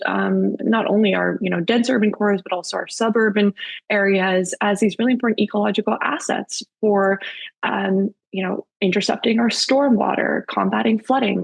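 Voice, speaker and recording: A woman in her thirties from Canada speaks over a video call with a voice that has a gravelly fry at a middling pitch. Her talk is earnest and enthusiastic, and it is full of fillers and restarts. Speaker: webinar speaker and author. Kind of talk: webinar presentation.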